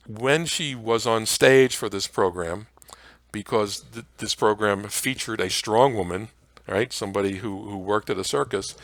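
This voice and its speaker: A man presenting an informal slide lecture in a halting, conversational way. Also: on the low side, a bit bright, dry recording.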